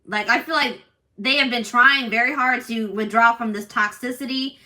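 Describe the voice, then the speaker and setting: A woman doing a casual tarot reading for her viewers, talking in an assertive, loud way with lots of restarts and "like". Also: on the high side, normal speed, poor audio. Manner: animated, many restarts and fillers. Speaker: tarot reader.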